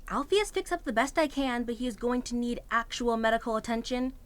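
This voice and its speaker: A slightly high voice of a caring young first-aider played by a woman in an audio drama. The character is practical and forthright, with an urgent delivery. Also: a little fast, close to an everyday voice. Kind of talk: amateur audio drama acting.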